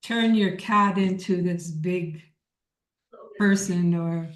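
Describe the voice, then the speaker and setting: A woman's voice: on the low side, slow pace. A woman leading an informal online workshop, answering questions in an encouraging, emphatic way. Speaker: workshop leader. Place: indoor room on a video call. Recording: echoey room.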